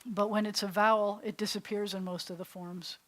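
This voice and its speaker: A woman rabbi teaching a beginners' Hebrew class in a loose, chatty way, often restarting her sentences and sounding words out slowly. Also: medium pitch, fairly even intonation. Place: classroom or congregation hall.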